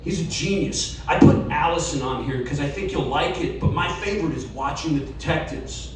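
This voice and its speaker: A man giving a live reading of a personal story to an audience, earnest and sometimes slowing to a hushed tone. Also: fairly high pitch, a little hiss or hum. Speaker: story reader. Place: live reading event in a bar. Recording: echoey room, distant mic.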